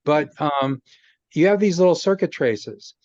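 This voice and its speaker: A man's voice: fairly high pitch, animated, measured pace, darkish tone, no room sound, lo-fi sound. A man in a casual video call chat, explaining technical ideas patiently with lots of "um" and restarts. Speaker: guest explaining chip technology. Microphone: video call microphone.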